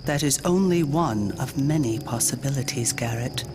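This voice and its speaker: An actor playing a cautious, earnest member of a secret order in a video game, measured and guarded. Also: male voice, medium pitch, darkish tone, slight background noise, lightly acted. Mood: cautious, concerned. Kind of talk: video game cutscene acting. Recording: music behind the voice.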